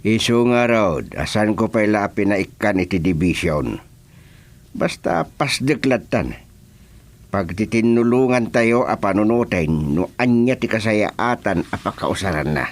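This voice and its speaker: A man's voice: fairly low pitch, lively intonation, a little slow. An actor playing a proud married village chairman who has come into money, in an Ilocano radio drama-comedy, with an Ilocano accent. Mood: explaining.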